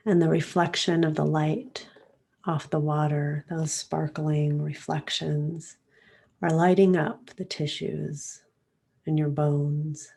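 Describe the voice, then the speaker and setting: Slow, low-voiced woman guiding meditation. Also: creaky, breathy edge. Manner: calm, soothing, unhurried with long pauses. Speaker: meditation guide.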